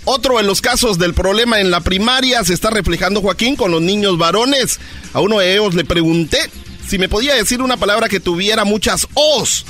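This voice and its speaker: A man on a comic radio show who stammers and hesitates. His voice is high and bright, and light music plays behind him. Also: brisk.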